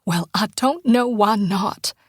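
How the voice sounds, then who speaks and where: Tender tearful mother, read by a woman, soft and breathy, plain pitch, dry booth. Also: slow pace, dry recording. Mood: pleading. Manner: pleading, heartfelt. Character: loving small-town mother, tearful, tender. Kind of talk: audiobook character dialogue.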